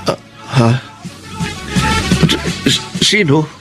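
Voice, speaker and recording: A shy young Filipino in love in a radio drama. He talks slowly and haltingly, with music behind him. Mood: crestfallen. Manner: hesitant, halting. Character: shy, lovestruck young man, best friend in love.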